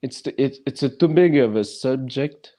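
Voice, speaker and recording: A man who speaks English as a non-native language, hosting a casual podcast chat and talking haltingly with many restarts. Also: medium pitch, warm tone. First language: neither English nor French.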